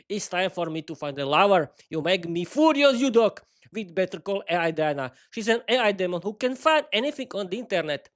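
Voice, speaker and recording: Male reader as a haughty vampire noblewoman, shrill, high, easily riled. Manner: shrill scolding outbursts. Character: haughty female vampire lady, quick-tempered.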